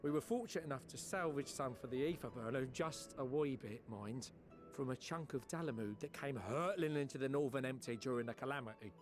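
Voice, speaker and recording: A man playing a rough-spoken, chirpy engineer in a video game, with a colloquial British accent and animated, folksy manner. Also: medium pitch, close to an everyday voice. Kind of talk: video game cutscene acting.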